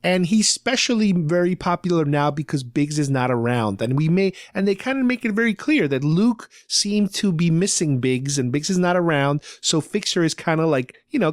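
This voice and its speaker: Male podcast co-host, slightly high voice, conversational explainer delivering a casual recap, very dry recording. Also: expressive, normal speed, few pauses, dry recording. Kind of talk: casual recap of a story episode.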